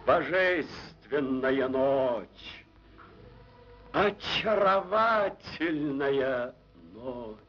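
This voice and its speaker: A muffled 1940s film soundtrack carries a male narrator. He recites poetic prose extremely slowly, with long pauses. His solemn, rapturous declamation rises and falls widely. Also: medium pitch, lively intonation.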